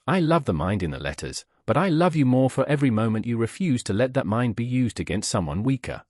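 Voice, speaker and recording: A devoted Regency duke acted by a male narrator speaks with gentle, restrained earnestness. His voice is husky with expressive pitch, in a dry audiobook recording. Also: a little fast, no echo. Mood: tender, earnest. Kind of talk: audiobook character dialogue.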